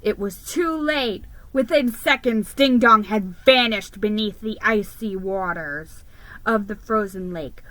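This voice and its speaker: A woman giving a dramatic, expressive reading of a children's story, stumbling over the odd word. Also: mid-range voice, takes it slowly, dry recording, low-quality recording. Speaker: storybook reader.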